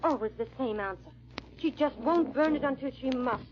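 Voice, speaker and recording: An actress playing a loyal, robust maidservant, excitable yet brave, in melodramatic 1940s radio drama style. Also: female voice, high voice, moderate pace, natural voice. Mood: tense, bracing. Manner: melodramatic, alarmed, deferential. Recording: muffled vintage radio recording.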